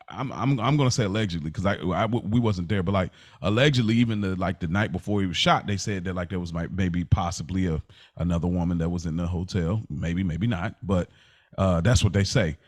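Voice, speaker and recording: An American man with a fairly low voice talks casually as a podcast co-host. He works through his thoughts haltingly, doubling back to reword them. The sound is very dry, with no room echo. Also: darkish tone. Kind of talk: casual discussion.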